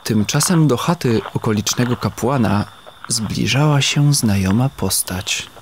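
A man narrating an audio drama in a measured storytelling manner. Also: mid-range voice, slight background noise.

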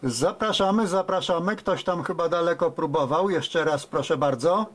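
A man's voice: fairly high pitch, moderate pace, very noisy. A man working as a radio operator, giving call-outs and casual commentary a desk indoors. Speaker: amateur radio operator, host.

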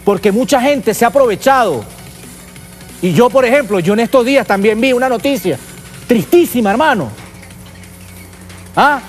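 Male political host, Venezuelan accent, strikingly high voice, fiery colloquial delivery, light music underneath. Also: expressive, normal speed, pauses often. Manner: emphatic, colloquial, rhetorical questions. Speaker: political talk show host. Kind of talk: impassioned political commentary.

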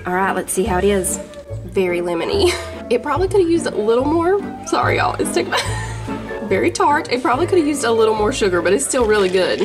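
Mom host, homey chatty cook-along, music and sizzling pan behind. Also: female voice, fairly high pitch, very animated, a little fast. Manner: chatty, homey, talks to viewers as y'all. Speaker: home cook, channel host. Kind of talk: casual cook-along narration. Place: home kitchen. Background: background music and sizzling pan.

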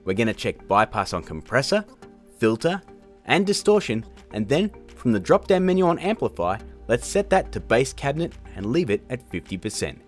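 Lively man narrating a tutorial over music. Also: mid-range voice, normal speed. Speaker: tutorial host. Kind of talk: casual screen-recorded tutorial narration.

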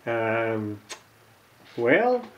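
A man giving unscripted, casual narration of hands-on repair work, halting and muttering to himself as he goes. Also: medium pitch, big pitch swings, dull audio, slight background noise. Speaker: hobbyist radio repairer, video host. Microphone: camera mic near the workbench.

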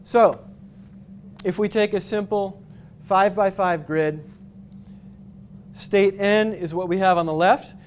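A man from New England giving a conference talk with slides, explaining step by step with frequent pauses and restarts. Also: sits high, fairly even intonation, a little hiss or hum. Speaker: conference speaker. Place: hotel conference room with projector screen.

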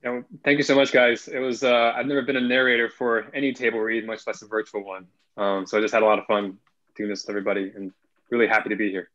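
A man's voice: fairly low pitch, level tone, quick pace, fairly bright tone. A man, an actor, chatting casually over a video call with lo-fi sound. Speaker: actor, narrator at a table read. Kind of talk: casual chat. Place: indoor room, video call. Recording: dull lo-fi video call audio.

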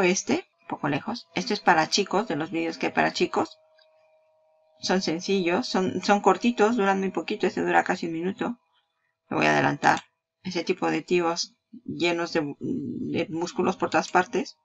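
A woman's voice: on the low side, normal speed, creaky, lo-fi sound. A woman giving a casual, chatty walkthrough of a product page, talking straight to the viewer and laughing at herself. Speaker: marketing YouTuber.

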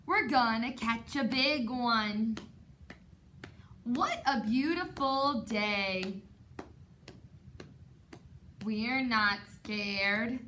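A woman's voice: on the high side, sharp tone, slight background noise. A young woman teacher reading a picture book aloud to children in a dramatic, sing-song way, recorded in a classroom from a camera a few metres away. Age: young adult. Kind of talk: children's storytime read-aloud. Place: indoor classroom, sitting on carpeted floor.

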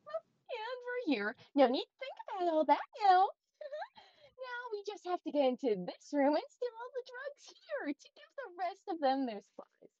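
A frantic ragdoll woman from a cartoon voiced by a woman, high in pitch and very animated. She rambles theatrically with brittle cheer. The sound is close and dry. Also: moderate pace, no room sound, dull audio. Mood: nervously breezy. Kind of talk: cartoon fandub acting.

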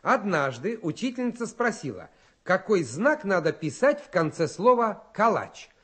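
A man's voice: sits high, very animated, moderate pace, fairly bright tone, husky. A man hosting a children's radio show in a scripted, comic presenting style.

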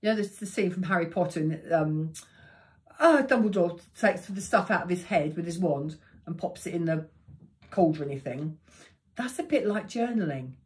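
A woman in her sixties with a croaky voice, giving practical meditation tips to the camera in a chatty, unhurried way from her living room. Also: on the low side, animated, darkish tone. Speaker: meditation teacher. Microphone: camera in front of her.

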